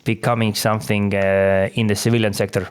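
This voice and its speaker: A man hosting a podcast in relaxed conversation who laughs easily and uses many fillers. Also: lowish voice, fairly quick, clean tone. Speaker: podcast host. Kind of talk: casual interview talk.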